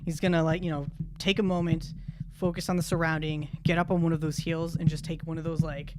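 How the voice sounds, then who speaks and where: Higher-voiced man, halting, noisy recording. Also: flat delivery, darkish tone. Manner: halting, thinking aloud. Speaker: player in a tabletop role-playing game. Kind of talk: casual improvised description of a character's actions.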